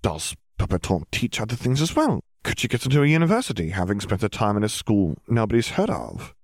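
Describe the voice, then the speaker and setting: A man's voice: on the low side, sing-song, brisk, no echo, clean studio sound. A man voicing a worried, practical mother who questions earnestly, in an audiobook story. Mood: probing. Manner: probing, earnest.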